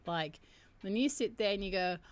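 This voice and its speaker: Deep-voiced woman hosting a livestream. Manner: chatty, laughs and reacts to chat; slows down to chant drawn-out syllables. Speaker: livestream host. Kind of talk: casual chat mixed with reading aloud. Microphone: streaming mic.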